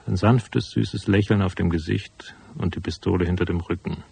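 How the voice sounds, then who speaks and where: An actor playing a tough, cynical private detective in a noir radio drama, speaking in a laconic, unsentimental manner. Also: male voice, low-pitched, fairly even intonation, normal speed, creaky, mellow, breathy edge, exaggerated voice. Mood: brooding, matter-of-fact. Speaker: narrator and lead character.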